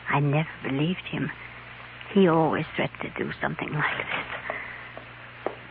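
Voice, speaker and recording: A frail wounded lady, speaking in a weak, breathy, fading voice with dreamy resignation. The old radio drama sound is narrow, with faint noise. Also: low-pitched, measured pace. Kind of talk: 1950s radio western acting. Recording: muffled radio with faint hum.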